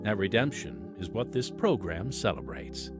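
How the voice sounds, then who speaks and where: A man's voice: on the low side, expressive, normal speed, over music. An American man hosting a radio drama with scripted, earnest narration. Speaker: host and announcer.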